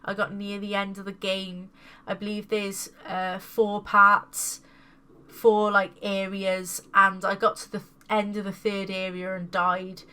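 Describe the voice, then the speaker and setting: A woman's voice: medium pitch, completely flat delivery, a little slow, bright, forceful tone, clean tone. A woman casually showing off her new video game pickups, sounding congested from a cold and repeating words with enthusiasm. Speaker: gaming video host.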